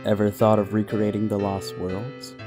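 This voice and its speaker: A man as a low-voiced, proud siren father. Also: little pitch movement, moderate pace, close to an everyday voice. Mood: probing, wry. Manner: terse, dry. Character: aloof, proud siren father, guarded and dry. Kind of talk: audio drama acting. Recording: voice under plucked guitar music.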